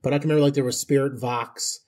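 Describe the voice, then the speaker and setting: A man's voice: medium pitch, fairly even intonation, quick pace, a little mellow. A man, hosting a podcast interview in a chatty, folksy, joking way. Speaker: podcast host. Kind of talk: casual conversational interview.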